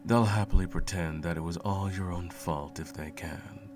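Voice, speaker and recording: A man playing a sadistic sorcerer in an ASMR roleplay, cooing mockingly and condescendingly at a captive pet in a low gravelly murmur. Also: steady tone, moderate pace, lightly acted. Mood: cruel amusement. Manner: teasing, cooing, condescending, theatrical.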